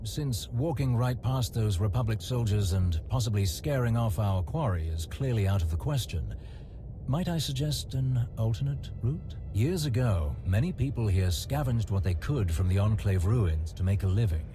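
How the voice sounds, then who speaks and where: An actor playing a self-assured, smooth-talking male guide in a video game, wry, wordy and genteel. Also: lowish voice, expressive, moderate pace, background noise, natural voice. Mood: suggesting, explaining. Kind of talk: acted game cutscene dialogue. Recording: music behind.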